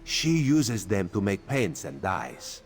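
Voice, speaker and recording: A man's voice: on the low side, big pitch swings, slow pace, exaggerated voice. An actor playing a sly, playful cat-man spy in a video game who refers to himself in the third person, over faint game music. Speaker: spymaster quest giver. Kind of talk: video game character dialogue.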